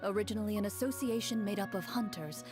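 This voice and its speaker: Woman voicing a calm young swordswoman, measured narration, game master, music underneath. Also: medium pitch, natural voice. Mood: composed. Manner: composed, measured storytelling. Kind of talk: video game voice acting.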